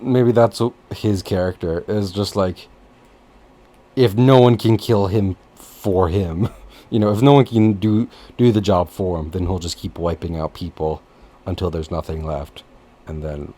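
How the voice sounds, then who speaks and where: A man with a fairly low voice hosts a casual podcast. He talks in a rambling, animated way, joking and swearing like he is among friends. The style is loose, unscripted chat. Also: unhurried. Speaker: podcast host.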